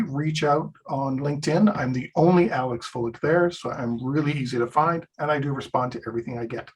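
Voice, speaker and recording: A man's voice: fairly high pitch, husky, low-quality recording. A man hosting a podcast, giving friendly, upbeat introductions to his guests. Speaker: podcast host.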